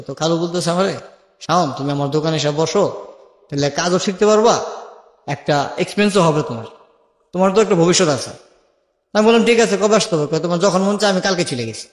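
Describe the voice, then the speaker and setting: A young Bangladeshi man telling his life story as an interview guest, colloquially and with remembered dialogue acted out. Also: on the high side. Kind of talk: personal storytelling in a radio-style interview. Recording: echoey with long reverb.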